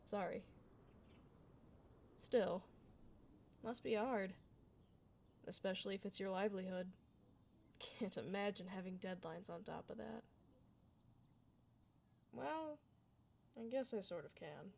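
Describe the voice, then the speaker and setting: Woman acting as a tomboy fantasy adventurer, gravelly fry, blunt yet kind, casual ASMR roleplay delivery, faint low rumble behind. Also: slow pace, long pauses, darkish tone. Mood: casual, frank.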